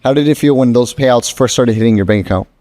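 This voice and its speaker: A man's voice: mid-range voice, fast, mellow. A man hosting a casual interview, asking questions and reacting emphatically to his guest's answers. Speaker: interviewer host.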